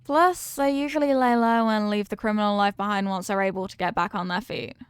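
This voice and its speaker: A woman's voice: mid-range voice, steady tone, brisk, clean tone, dry recording, close to an everyday voice. A woman playing a confident, affectionate mafia boss in an intimate roleplay, teasing and streetwise, with slangy talk and pet names. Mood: musing, assured. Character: confident, doting female mafia boss, tough but affectionate. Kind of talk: intimate ASMR-style roleplay acting.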